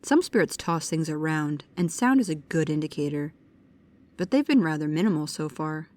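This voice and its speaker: A woman's voice: sits low, animated, moderate pace, a little mellow. A woman playing a bookish, precise and nervous young paranormal investigator, speaking to the listener in an audio roleplay. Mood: pedantic, curious. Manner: pedantic, hesitant, earnest.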